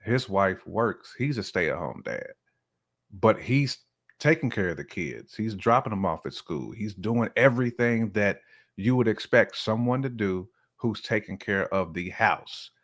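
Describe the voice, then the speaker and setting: A man's voice: fairly low pitch, expressive, measured pace, a bit bright. A man speaking as a podcast guest, sharing opinions in casual, slangy, conversational speech. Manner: informal, colloquial speech with slang.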